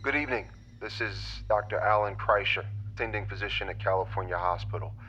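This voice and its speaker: An actor in an audio drama playing a formal, grave hospital doctor, speaking carefully through a telephone filter. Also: male voice, fairly low pitch, fast. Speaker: attending physician. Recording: dull phone line, loud music.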